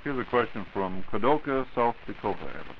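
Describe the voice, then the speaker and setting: A man hosts in a polished, courteous 1940s announcer style. His voice is fairly low and he talks briskly. The old radio recording is dull, with a light hiss. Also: sing-song. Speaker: radio host.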